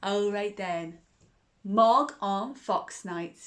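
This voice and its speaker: A woman's voice: medium pitch, extremely slow, a bit bright, slight background noise. A woman hosting a children's storytime and reading a bedtime story, exuberant and sing-song as she talks to young listeners, often repeating phrases. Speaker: storytime host.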